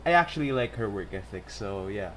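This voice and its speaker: A woman's voice: extremely low pitch, expressive, fairly quick, background noise. A woman giving casual let's-play commentary, reacting in short interjections. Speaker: gaming video host.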